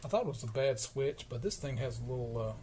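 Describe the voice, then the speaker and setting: Male repair vlogger, mid-to-low everyday pitch, explains haltingly and informally on a noisy workbench recording. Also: lively intonation, darkish tone. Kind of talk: informal hands-on repair narration. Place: indoor electronics workbench. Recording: clearly noisy workshop camera recording.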